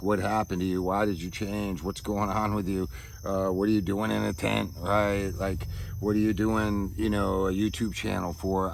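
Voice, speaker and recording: A man giving a reflective personal monologue as a vlogger, halting as he searches for words and often checking in with a casual tag question, recorded outdoors. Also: low-pitched, creaky, a bit bright, a little hiss or hum. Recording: outdoor ambience with insects chirping.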